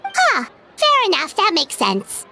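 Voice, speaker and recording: A woman voicing a small, excitable, nosy girlish companion in an animated video game, with a squeaky childlike voice. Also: slow pace, creaky, exaggerated voice. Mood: curious. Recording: music behind the voice.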